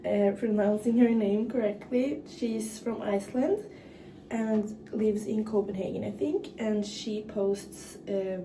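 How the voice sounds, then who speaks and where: A young woman from northern Norway with a Norwegian accent, chatting casually in English while doing her hair, halting and full of fillers. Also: fairly high pitch. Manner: halting, frequent fillers and restarts. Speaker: vlogger. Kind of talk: casual get-ready-with-me chat. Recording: echoey room, mic far off, clear background noise.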